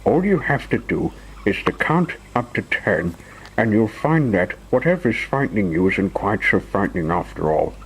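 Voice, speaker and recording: A man voicing a kindly tramp from a children's storybook, speaking gently and soothingly. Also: on the low side, sing-song, normal speed, slight background noise, rough recording. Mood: gentle, reassuring.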